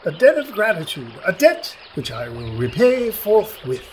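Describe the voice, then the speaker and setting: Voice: medium pitch, huge pitch swings, a little slow, darkish tone, a little hiss or hum. An actor in a radio play voicing a pompous, wordy magic fish who speaks in florid, old-fashioned phrases. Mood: pompous, brisk. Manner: pompous, florid archaic diction.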